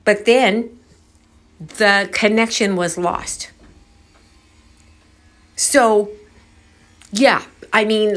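A woman talks to her viewers as a storytelling host. Her delivery is slow and unhurried, with a mid-range pitch. She sounds casual and conversational, musing as she goes. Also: a bit bright. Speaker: host of a paranormal storytelling channel.

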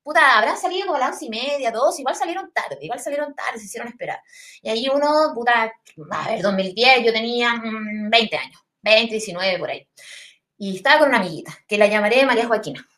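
A woman's voice: a little high, animated, fast talker, fairly bright tone. A woman in her thirties from Chile, with a Chilean accent, telling a funny personal story in a rambling, slangy way with swearing. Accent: Chilean Spanish. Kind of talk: casual comic storytelling.

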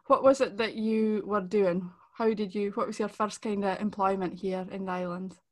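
A woman's voice: mid-range voice, steady tone, moderate pace, darkish tone. A woman from Shetland hosting a podcast interview, upbeat and welcoming, often laughing. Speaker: podcast host.

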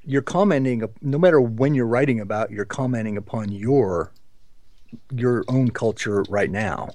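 A man's voice: medium pitch, lively intonation, moderate pace, darkish tone. A man hosting a podcast discussion in an informal, chatty way, with some enthusiasm for the subject. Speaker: podcast host.

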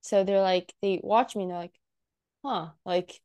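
A woman's voice: medium pitch, unhurried, darkish tone, smooth, dull audio. A young woman from the United States, a content creator chatting as a guest, rambling with lots of "like" fillers and laughing often. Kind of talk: casual chat.

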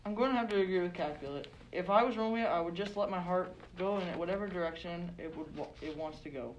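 A man acts a meek and plaintive father who has lost his family, in a comic podcast sketch. He sounds self-pitying and soft-hearted. There is faint background noise. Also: high voice, fast, muffled recording, natural voice. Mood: mild, accepting.

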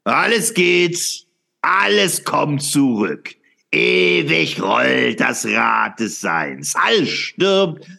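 A man playing a fervent, brooding philosopher in a radio play, declaiming haltingly with dramatic pauses and repetitions. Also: fairly high pitch, sing-song, gravelly, sharp tone, exaggerated voice. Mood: rapturous, feverish. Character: fervent, brooding male philosopher proclaiming eternal return. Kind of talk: theatrical radio play monologue.

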